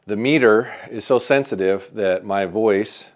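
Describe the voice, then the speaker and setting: A man's voice: lowish voice, a little slow. A man reviewing a bow at a shop, casually talking through each measurement as he checks it. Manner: upbeat, talks through measurements as he works; says he has a loud voice. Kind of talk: casual hands-on product testing.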